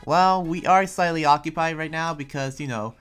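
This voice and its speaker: Someone playing an earnest town preacher in an improvised anime game roleplay, speaking haltingly and hesitantly. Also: medium pitch, fairly bright tone, smooth, close to an everyday voice. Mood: polite, wary. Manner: halting, hesitant, often trailing into "you know". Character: Yuma, earnest town preacher busy planning a festival. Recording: music behind.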